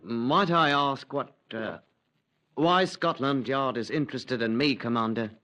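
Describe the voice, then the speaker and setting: An actor playing an evasive former fire watcher under police questioning in a 1950s radio drama, hesitant and trailing off. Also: male voice, medium pitch, measured pace, pushed and bright.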